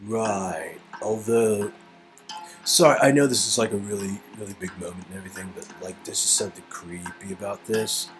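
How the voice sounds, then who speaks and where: A man's voice: fairly low pitch, normal speed, faint music behind. A man casually performing game dialogue in a hesitant, rambling, comic way, often repeating words. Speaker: performer reading game script.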